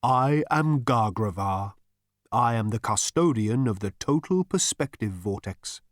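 A man voicing a disembodied spirit in an audiobook, soft and ethereal, speaking slowly with wistful courtesy. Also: medium pitch, animated, no room sound, clean studio sound, close to an everyday voice. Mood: calm, helpful. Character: disembodied male spirit, deep, quiet, ethereal, wistfully polite. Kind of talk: audiobook character voice.

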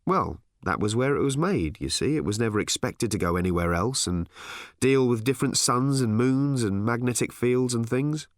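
A man's voice: mid-range voice, lively intonation, normal speed, no echo, studio quality, close to an everyday voice. A man voicing an awkward, mild father in an audiobook, bewildered and stammering as he reasons with his daughter. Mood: explaining mildly. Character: bewildered, well-meaning, awkward father of a teenage girl.

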